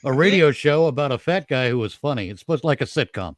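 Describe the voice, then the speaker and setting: A man's voice: medium pitch, expressive, quick pace, dry recording. A man bantering as a livestream panelist, telling crude jokes in a casual storytelling way.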